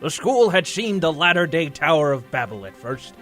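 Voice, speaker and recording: A man's voice: on the high side, sing-song, moderate pace, fairly bright tone. A man playing a grand, florid comic-book narrator, with theatrical and emphatic scripted delivery. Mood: expository. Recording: music bed under the voice.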